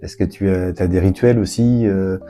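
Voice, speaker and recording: A man hosting an interview, asking questions in a halting way with repeated words. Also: sits low, steady tone, warm tone, soft background music. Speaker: interview host.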